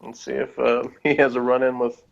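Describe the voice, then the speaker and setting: A man's voice: medium pitch, little pitch movement, a little fast, vocal fry. A man reacting casually to a TV episode, wry and bluntly opinionated, in slightly muffled sound. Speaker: co-host of a TV episode review commentary.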